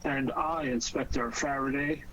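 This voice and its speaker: An actor playing a formal, self-important political bigwig with an American accent in a 1940s radio drama. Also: male voice, mid-range voice, steady tone, measured pace, a bit bright, lightly acted. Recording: dull, faintly noisy 1940s radio recording.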